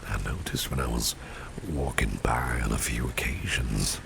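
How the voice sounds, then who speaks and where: Man voicing an extremely deep, gravelly, flirtatious boss. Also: exaggerated voice. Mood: flirtatious, admiring. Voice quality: very deep, gravelly, breathy half-whisper. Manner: slow, suave, courtly phrasing. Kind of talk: ASMR roleplay spoken to the listener. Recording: steady rain and street ambience noise. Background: rain falling, passing vehicles.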